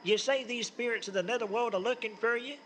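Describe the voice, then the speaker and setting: A man's voice: very high voice, quick pace, fairly bright tone, slight background noise. An actor playing an easygoing small-town man who talks in a folksy rural dialect and stammers, in an old-time radio drama.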